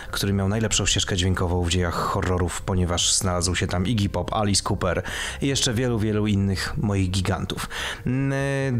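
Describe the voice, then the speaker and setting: A man hosting an informal film review programme, talking enthusiastically in list-like phrases. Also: on the low side. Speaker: host of a pop culture programme. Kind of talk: informal scripted-outline film review talk.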